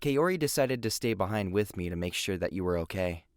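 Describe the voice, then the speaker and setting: A man's voice: low voice, animated, fairly quick, no room sound. Man playing a cool, wisecracking youth, dry recording. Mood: reassuring. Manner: teasing, sardonic, foul-mouthed. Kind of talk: anime-style audio drama acting.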